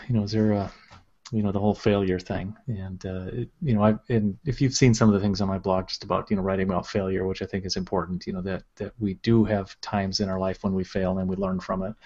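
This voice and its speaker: Steady mild-mannered man, lo-fi sound. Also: low-pitched. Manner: steady, filler-heavy with many "you know"s. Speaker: school administrator. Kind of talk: casual interview talk. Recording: dull lo-fi sound.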